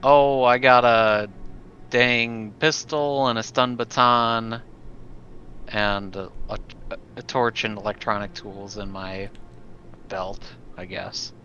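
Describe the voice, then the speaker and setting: A man chats casually as a tabletop game player. His voice is fairly low with a sharp, bright tone. The recording is dull and lo-fi, with noise behind him. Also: steady tone, takes it slowly. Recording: dull lo-fi sound, background noise.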